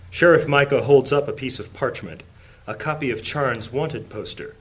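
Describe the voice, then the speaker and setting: A man narrating a fantasy audio drama in a measured, dramatic storytelling style. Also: mid-range voice.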